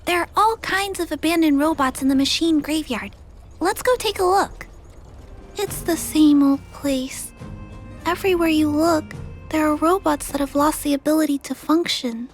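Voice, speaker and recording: A woman playing a gentle, earnest little girl in a video game, speaking politely and carefully. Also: high voice, normal speed, soft background music, natural voice. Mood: earnest, thoughtful. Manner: polite, soft-hearted, careful.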